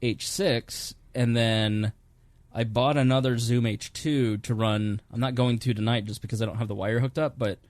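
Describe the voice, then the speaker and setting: A man's voice: medium pitch, fairly even intonation, normal speed, darkish tone, no echo. A man hosting a podcast in a home studio, talking casually on a studio mic and rambling through technical details with a self-deprecating tone. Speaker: podcast host. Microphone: studio dynamic microphone.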